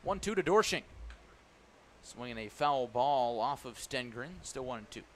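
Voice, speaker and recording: A man works as a baseball radio announcer, calling the game live. His mid-pitched voice sits over a clear bed of stadium ambience and background noise. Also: lively intonation, normal speed, a bit bright.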